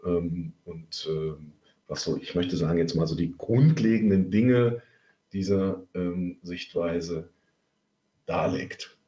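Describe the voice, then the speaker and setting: A man in his thirties with a cold leads an online trading webinar, pausing often and filling gaps with hesitations. His voice sits fairly low. The sound is roomy and a little muffled, like webinar audio. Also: dark tone. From Germany. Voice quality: halting, many filler sounds. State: has a cold. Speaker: trading coach. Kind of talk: webinar presentation.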